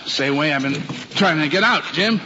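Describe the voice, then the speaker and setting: A proud, gruff American cop and single father from a vintage radio play. He blusters and hesitates in a folksy way, at a medium pitch. The recording is dull, with faint noise. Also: animated, normal speed, bright, forceful tone. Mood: flustered, pained. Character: gruff, proud, flustered widowed policeman father.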